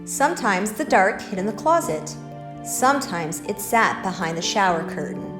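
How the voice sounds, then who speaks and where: A woman's voice: mid-range voice, very animated, normal speed, reverberant, music in the background. A woman hosting a children's story time, reading picture books and talking in simple, encouraging words to young listeners. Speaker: library story-time host.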